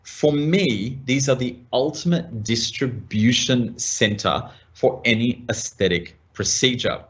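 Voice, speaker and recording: An Australian man, a medical practitioner, giving a measured product demonstration to colleagues. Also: medium pitch, dull audio.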